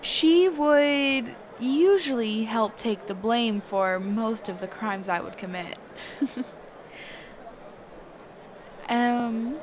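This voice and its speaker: A woman playing a sheltered, curious mage teacher in a conversational roleplay audio drama, halting and often correcting herself. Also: fairly high pitch, background noise. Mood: fond, wistful. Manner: halting, hesitant, trailing off and self-correcting.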